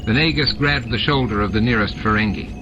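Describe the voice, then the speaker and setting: A man's voice: fairly low pitch, brisk. A man reading a novel as scripted audiobook narration, with music and sound effects behind him. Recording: dull lo-fi audiobook dub.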